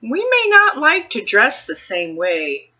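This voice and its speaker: Female storytime librarian. Highly expressive, slow-paced delivery aimed at young children, with questions to listeners. Slightly muffled recording. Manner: addresses young children with questions, deliberate phrasing. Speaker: children's librarian reading a picture book aloud. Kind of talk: children's storytime read-aloud with asides to listeners.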